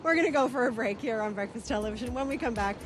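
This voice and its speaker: A woman's voice: fairly high pitch, very fast. A woman hosting a breakfast television interview, chatty and upbeat, recorded outdoors in a city. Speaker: morning TV host.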